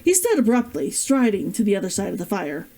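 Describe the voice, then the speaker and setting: A woman reading her own novel aloud in an expressive storytelling style. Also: mid-range voice, brisk. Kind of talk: expressive audiobook-style narration.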